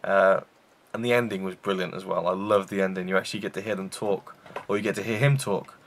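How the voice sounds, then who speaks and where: A man's voice: sits low, steady tone, quick pace, a little hiss or hum. A man hosting a casual film review show, rambling with enthusiasm and many fillers and restarts.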